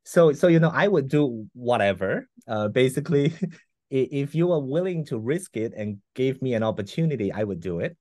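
A man's voice: medium pitch, expressive, normal speed, darkish tone, no echo, studio quality. A man in his forties from Malaysia, whose first language is not English, answering interview questions casually with repeated words and fillers over a video call. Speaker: guest scientist. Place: room at home.

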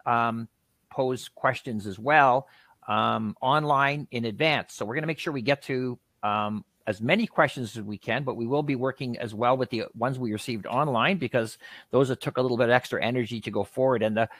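A man's voice: medium pitch, fairly bright tone, no room sound. A man moderating a live webinar in a chatty, rambling way. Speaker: panel host. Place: indoor room, video call. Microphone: video call microphone.

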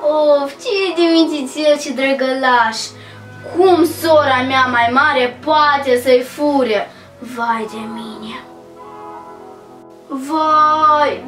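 Protective good fairy for a children's play, a woman acting; earnest, high-pitched, heard in an echoey space. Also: fairly bright tone. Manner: soothing, earnest, exclamatory. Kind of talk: children's fairy-tale drama. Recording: echoey, distant, music behind.

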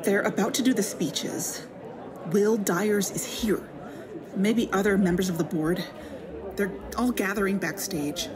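A woman's voice: medium pitch, measured pace, natural voice. A woman playing a hesitant, understated but determined investigator in a naturalistic fiction podcast. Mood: tense, hushed. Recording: busy crowd chatter behind.

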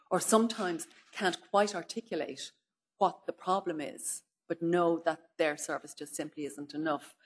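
A middle-aged woman giving a keynote lecture at a lectern, measured and thoughtful, often pausing with "if you like" and "um". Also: low-pitched, breathy edge. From Ireland. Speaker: keynote speaker. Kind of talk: lecture to an audience. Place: conference room, indoors. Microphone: far camera, speaking at a lectern.

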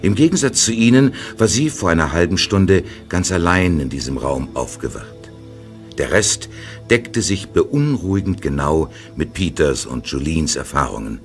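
Deep male narrator, lively intonation, radio play, soft music under. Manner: calm, measured storytelling.

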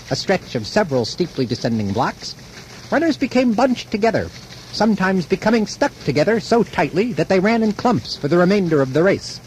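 A man with a high voice tells a story as scripted radio drama. His delivery is declamatory and mock-epic, rising and falling widely in pitch, with faint noise on the old broadcast recording. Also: rough recording. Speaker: storyteller narrator.

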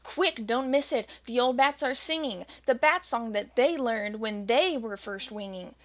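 Gentle young woman reading a storybook. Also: a little high, a little slow. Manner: gentle, expressive storytelling cadence. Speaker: children's book reader. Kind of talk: reading a rhyming picture book aloud. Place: small home room with bookshelves and green screen.

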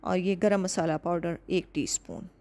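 Calm woman narrating a home recipe. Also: fairly low pitch. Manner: calm, instructive, measured. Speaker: cooking channel host. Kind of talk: step-by-step recipe voice-over.

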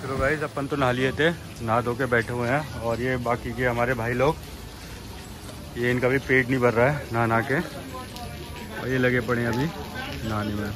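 A man's voice: medium pitch, level tone, normal speed, pauses often, noisy background. A man in his forties chats casually as a travel vlogger, filming himself on a phone outdoors at a hillside camp and pointing things out to his viewers. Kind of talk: casual vlog narration. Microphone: phone camera selfie.

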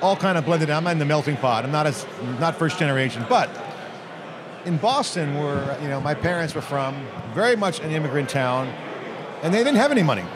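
Brief: middle-aged American man, conference interview host, energetic and emphatic, slightly high voice, crowd chatter around him. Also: brisk. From United States. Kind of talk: conversational interview. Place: large hotel hall at a conference. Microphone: handheld mic. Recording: busy crowd chatter behind.

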